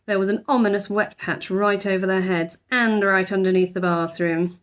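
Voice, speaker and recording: A woman's voice: medium pitch, fairly even intonation, moderate pace. A woman narrating a children's storybook expressively, like a teacher reading aloud.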